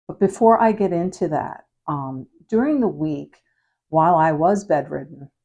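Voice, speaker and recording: A woman delivering an earnest devotional message, her voice raspy and hoarse as she recovers from illness, often trailing softly at the ends of phrases. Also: sits low. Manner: prayerful, reflective. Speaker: preacher.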